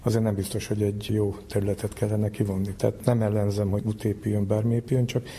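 A man's voice: lowish voice, flat delivery, dark tone, a little hiss or hum. A middle-aged or older man, an academic guest, explaining things informally in a plain-spoken way. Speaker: academic scientist, interview guest.

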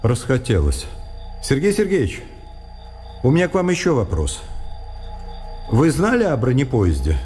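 Mellow-voiced, probing defence lawyer. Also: male voice, mid-range voice, huge pitch swings, normal speed, long pauses, faint music behind. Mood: insistent. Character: principled experienced defence lawyer, measured, probing, ironic. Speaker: radio drama actor. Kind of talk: Russian radio play acting.

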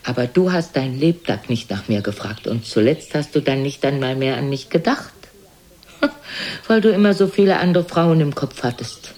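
An older woman playing a frail, sharp-tongued, reproachful old mother in a German radio play, scolding theatrically and dropping into whispers. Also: a bass voice, expressive, normal speed. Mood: bitter, mocking. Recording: noisy older radio recording.

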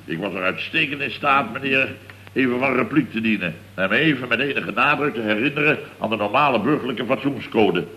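Actor playing a flustered, indignant boss, bright voice. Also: male voice, mid-range voice, a little fast, natural voice. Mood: indignant, pompous. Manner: long-winded, exasperated storytelling peppered with verbal tags. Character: flustered, indignant middle-aged boss of a building firm. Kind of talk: comic radio serial acting. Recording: old radio recording with faint noise.

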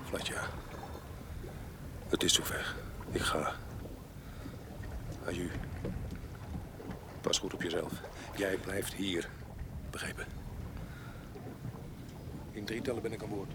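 Radio drama: rough fugitive killer, low and gruff, fatherly underneath, clear background noise. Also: slow pace, pauses often. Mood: grave farewell. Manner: gruff, plain-spoken. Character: rough male fugitive murderer, weary, fatherly to his comrade.

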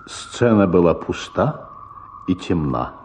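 Deep, dark-voiced male story narrator. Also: sing-song, slight background noise. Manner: measured, deliberate phrasing. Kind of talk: literary story narration for radio.

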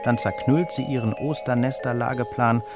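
A man reading a children's story as its narrator, with an expressive storytelling delivery. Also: mid-range voice, moderate pace, over loud music.

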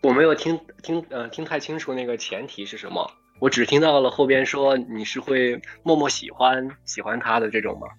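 A man's voice: medium pitch, a little fast, hardly any pauses, highs cut off. A man answering questions as a voice actor in a casual online voice chat, hesitant and thinking aloud, with music behind him. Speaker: voice actor being interviewed. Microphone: online voice call.

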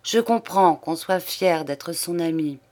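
Woman voicing a grave, measured male magistrate. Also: fairly low pitch, darkish tone. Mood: earnest, admiring. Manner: measured, formal, thoughtful. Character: grave, pensive, courteous male examining magistrate. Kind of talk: audiobook character dialogue.

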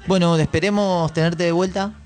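A man's voice: high-pitched, fairly even intonation, moderate pace, darkish tone, soft background music. A man with an Argentine Rioplatense accent, chatting casually as a radio host.

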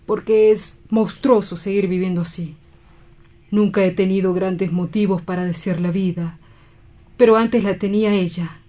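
A woman playing a grief-stricken, guilt-ridden doctor in a radio drama, solemn, halting and imploring. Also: medium pitch, fairly even intonation, dark tone, close to an everyday voice. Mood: sorrowful.

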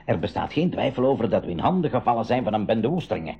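An actor playing a cautious, protective professor who takes charge in a 1980s school radio adventure. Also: male voice, fairly low pitch, big pitch swings, fast, gravelly, dark tone, husky, faint music behind, exaggerated voice. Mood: sober, worried. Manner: earnest, protective, authoritative. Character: cautious, commanding adult male professor leading youngsters. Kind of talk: 1980s Dutch-language school radio play acting. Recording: dull lo-fi 1980s radio recording.

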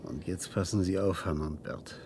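An actor playing an obsessive, cold scientist in a radio drama, lecturing with intensity and secretive command. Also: male voice, low-pitched, fairly even intonation, normal speed, a little mellow, slight background noise. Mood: hushed, commanding.

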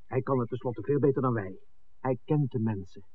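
An old, thin, hissy radio play recording features a man acting a pragmatic film maker. His mid-pitched voice is blunt and insistent. He argues impatiently, as someone who wants no trouble. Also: fairly quick, close to an everyday voice. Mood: exasperated, persuasive. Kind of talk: 1960s radio drama acting. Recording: tinny, noisy 1960s radio recording.

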